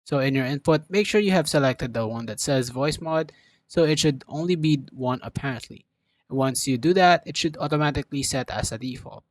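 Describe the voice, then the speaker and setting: A man presents a how-to tutorial in a medium-pitched voice. His delivery is informal and instructional, walking through software steps one at a time in a casual narrating style. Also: a little fast.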